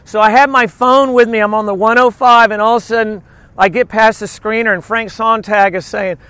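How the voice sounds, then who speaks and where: High-voiced man preaching conversationally. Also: brisk, clean tone, dry recording. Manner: informal, animated storytelling with acted-out quotes. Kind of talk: conversational sermon with personal stories and scripture teaching.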